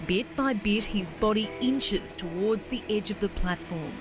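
A woman reporter reading a scripted news story in a dramatic, measured way. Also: mid-range voice. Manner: dramatic, measured voice-over delivery. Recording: voice-over with music bed.

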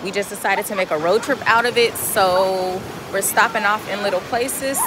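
A woman's voice: medium pitch, moderate pace. A woman in her late twenties chatting casually to her phone camera as she walks along a beach. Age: late 20s. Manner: chatty, talking to the camera while walking. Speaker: vlogger. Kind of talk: casual travel vlog. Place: outdoors on a beach by the sea. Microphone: phone camera at arm's length. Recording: loud wind and surf noise.

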